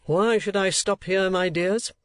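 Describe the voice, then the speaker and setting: A man's voice: high-pitched, fairly even intonation, measured pace, clean tone, voice pushed up. A man reading aloud, voicing a pompous, easily offended older rich uncle who talks sharply. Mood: huffy. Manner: sharp, huffy and self-important. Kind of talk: audiobook character voice.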